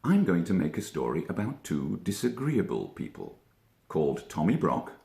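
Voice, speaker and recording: A male actor narrates a children's storybook with highly animated, swooping intonation. His lowish voice has a creaky, gravelly grain and deliberate dramatic phrasing. The room around him adds noticeable echo.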